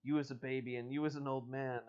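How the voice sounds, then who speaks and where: Man acting out a penitent ghost, grave, measured, solemn storybook reading, slightly muffled sound. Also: mid-range voice, little pitch movement, darkish tone, smooth, lightly acted. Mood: instructive. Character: solemn male ghost atoning for evil deeds.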